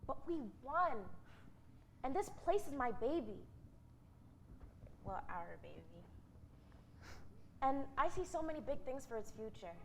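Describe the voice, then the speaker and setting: A woman's voice: sits high, sing-song, a little slow, long pauses, exaggerated voice. A woman acting a grown woman bantering fondly with an old friend in a staged reading, recorded from far off with noise. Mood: teasing, wistful. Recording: noisy, distant stage recording.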